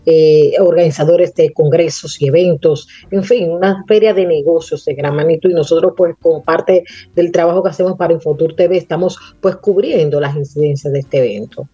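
A woman speaking over a muffled, dull phone line, her voice dark and a little husky. She explains at length and fills her pauses with little connecting words. The sound is dry and close. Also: low voice, steady tone, no echo. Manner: fluent explanatory, many "pues" fillers. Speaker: tourism marketing expert, guest. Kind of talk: phone-in radio interview.

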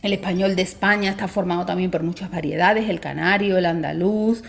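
A woman speaking as a language expert guest in a talk show, explaining in a measured way and often checking in with short tag questions. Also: on the low side, steady tone, gravelly, soft background music.

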